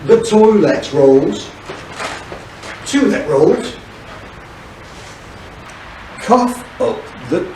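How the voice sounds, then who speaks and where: Echoey far-off recording of a guy doing a scheming mocking panto sheriff, high voice. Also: a little mellow, exaggerated voice. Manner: theatrical, mocking, exasperated. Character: scheming, sneering pantomime villain sheriff, adult man. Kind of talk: stage pantomime acting. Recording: echoey room, distant mic, hiss.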